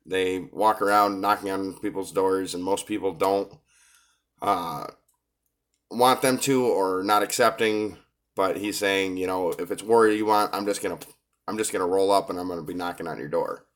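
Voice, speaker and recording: A low-voiced man coming down with a cold talks through his take on a rap track. He pauses often and fills the gaps with hesitant ums. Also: steady tone. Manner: hesitant, many filler pauses. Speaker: reaction video host. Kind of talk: casual commentary on a rap song.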